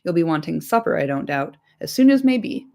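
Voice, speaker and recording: A woman voicing a fat, bustling, bald male innkeeper who talks quickly and without pause. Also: deep voice, sing-song, creaky, a little mellow, heavily acted. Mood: chatty, harried. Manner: brisk, talkative, hurried.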